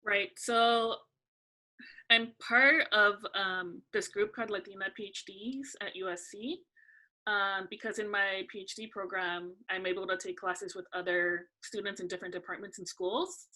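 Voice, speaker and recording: Bright-voiced young woman, relaxed chat. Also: medium pitch, poor audio. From California. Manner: relaxed, thoughtful, frequent "um" pauses. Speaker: guest. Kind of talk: casual interview conversation.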